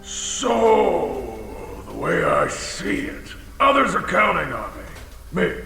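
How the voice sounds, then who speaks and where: Gravelly, theatrical dragon in a cavern. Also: male voice, fairly high pitch, wildly swooping pitch, takes it slowly, bright, forceful tone, faint music behind, heavily acted. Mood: earnest, rambling. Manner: theatrical, rambling, swings into bellowing. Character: huge, touchy, boastful ancient dragon, chatty and wounded. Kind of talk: audio drama acting. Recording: cavernous echo with long reverb, faint noise.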